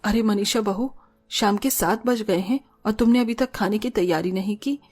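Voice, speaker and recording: Mid-pitched patient mother-in-law played by a woman. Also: moderate pace, lightly acted. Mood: reproachful. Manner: reproachful yet gentle. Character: patient but exasperated middle-aged mother-in-law.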